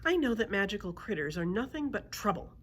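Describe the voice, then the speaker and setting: A woman's voice: mid-range voice, very animated, brisk, slight background noise, pitched above the performer's own voice, lightly acted. A woman voicing a grumpy, suspicious village man in a children's storybook, blustering and accusing. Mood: scornful. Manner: blustering, emphatic.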